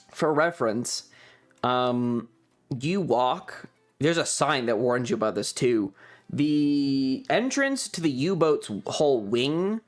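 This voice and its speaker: Male streamer, mid-pitched and lively in intonation, sweary, amused, rambling casual chat over background music. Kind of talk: casual livestream chat recounting a trip.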